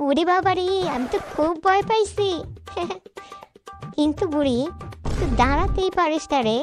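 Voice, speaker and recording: A woman's voice: very high voice, lively intonation, a little slow. A woman voicing a bold, fearless young village girl in a comic cartoon, sassy and teasing. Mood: mocking. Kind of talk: exaggerated comic cartoon voice acting. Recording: loud music under the voice.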